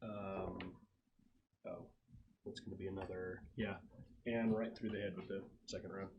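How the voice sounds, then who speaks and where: A man's voice: low-pitched, flat delivery, moderate pace, highs cut off, background noise. A man acting as game master, casually narrating tabletop combat in vivid, slangy language.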